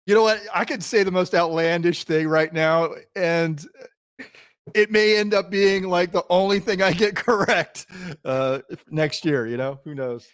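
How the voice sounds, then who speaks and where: A man's voice: high voice, unhurried, a bit bright, clear, resonant tone, dry recording. Laid-back male podcast co-host, dry close sound. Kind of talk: casual podcast chat.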